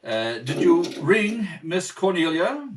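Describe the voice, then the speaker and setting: Man acting a formal, measured butler, slightly distant. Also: high voice, very animated, pitched above the performer's own voice, exaggerated voice. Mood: polite, evasive. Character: polite, evasive young butler Godfrey, turning briskly bossy. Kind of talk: 1930s radio comedy acting. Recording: distant home kitchen-table recording.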